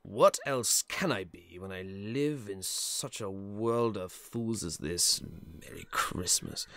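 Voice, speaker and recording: Grumpy old miser in an audio drama, snappy and scornful, sharp bright voice. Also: male voice, on the low side, takes it slowly, dry recording. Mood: bitter.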